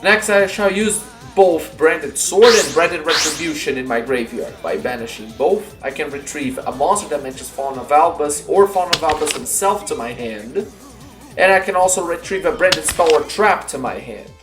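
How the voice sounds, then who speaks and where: A man's voice: on the high side, animated, normal speed, natural voice. Echoing, confident anime-style duelist man acting. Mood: determined. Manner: declamatory, announces each move formally. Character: confident male card duelist, chanting dramatic summons. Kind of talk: fan roleplay anime-style duel acting. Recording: roomy echo under music.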